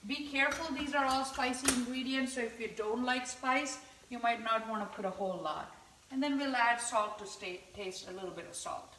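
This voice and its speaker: A woman's voice: a little high, moderate pace, fairly bright tone. A woman from India hosting a live home cooking demonstration, chatting through each step as she stirs. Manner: chatty, explaining each step while stirring. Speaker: cooking show host. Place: home kitchen. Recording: echoey kitchen from far off.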